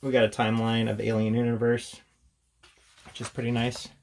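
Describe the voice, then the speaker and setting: Casual man with a creaky voice. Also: mid-range voice, completely flat delivery, a little fast, a little mellow. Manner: hesitant, informal, thinking aloud. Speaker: host of a tabletop gaming channel. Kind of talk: casual unscripted product walkthrough.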